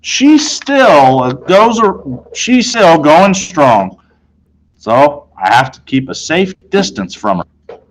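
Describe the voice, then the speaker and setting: A man reading a children's novel aloud in an expressive, conversational way, telling it as a boy in the first person. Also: fairly high pitch, takes it slowly, rough recording. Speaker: storybook reader.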